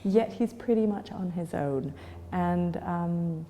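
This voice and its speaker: A woman's voice: lowish voice, takes it slowly, warm tone, long reverb tail, a little hiss or hum. A woman in her forties from Chile, answering interview questions thoughtfully, with many fillers and restarts. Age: 40s. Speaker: gallery owner.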